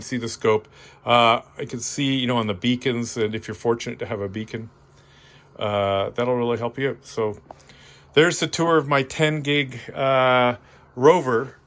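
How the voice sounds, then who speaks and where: Man, mid-pitched voice, informal hobbyist demo, halting and thinking aloud. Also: steady tone, fairly bright tone. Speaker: amateur radio hobbyist presenting his equipment. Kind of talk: informal show-and-tell demonstration.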